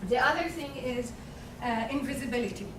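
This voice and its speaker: Loud high-voiced woman from Palestine. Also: fairly quick. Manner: firm, declarative, loud voice. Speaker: panel speaker. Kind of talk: conference presentation. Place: conference hall. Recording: echoey room, far mic, noisy.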